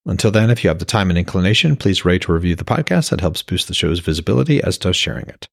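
A man's voice: deep voice, expressive, fast talker, vocal fry, no room sound, clean studio sound. A man reading scripted narration and commentary as an author hosting his own audiobook podcast.

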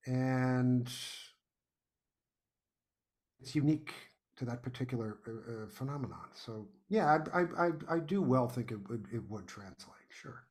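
An American man chatting as an interview guest, thoughtful and halting, often repeating words as he goes. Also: mid-range voice, lively intonation. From United States. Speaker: guest playwright and podcast host. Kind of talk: casual interview chat.

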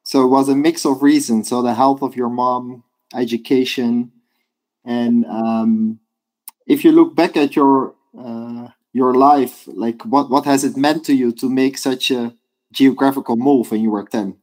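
A man's voice: mid-range voice, fairly even intonation, measured pace, a little mellow, rough recording. A man hosting an interview podcast, welcoming his guest and asking curious questions with some restarts. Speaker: podcast host.

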